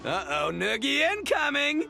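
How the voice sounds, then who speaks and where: A man's voice: very high-pitched, huge pitch swings, unhurried, creaky, loud music behind the voice, heavily acted. An actor playing a cocky, playful young man in a video game, with a teasing, theatrical delivery. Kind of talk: animated video game voice acting.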